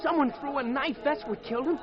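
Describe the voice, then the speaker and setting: Desperate youth: frantic pleading, very high pitch, muffled vintage radio sound. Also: male voice, quick pace. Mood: panicked, pleading. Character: frightened, pleading youth of about nineteen in trouble. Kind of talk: 1950s radio drama acting. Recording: muffled vintage radio, loud crowd behind.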